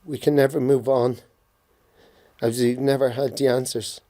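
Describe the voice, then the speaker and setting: A man in his forties with a Dublin accent reads a prepared poetic eulogy to his sister, solemn and halting in places. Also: mid-range voice, flat delivery, warm tone. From Ireland. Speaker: bereaved brother giving a tribute.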